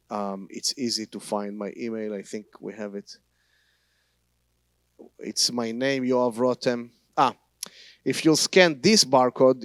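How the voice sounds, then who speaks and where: Israeli guest speaker, Hebrew accent, mid pitch, dry close sound, halting phrasing with restarts. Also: male voice, no room sound. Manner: halting, non-native grammar with frequent restarts. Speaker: guest speaker, tour guide. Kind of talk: church teaching talk. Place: church.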